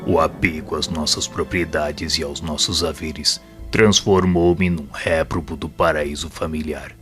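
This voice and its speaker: A very deep-voiced, wise male spirit mentor, played by a man, with a Brazilian accent and a forceful, bright edge. He speaks solemnly and patiently, persuading in measured phrases, with faint music underneath as in an audio drama. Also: fairly even intonation. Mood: humble, confessional.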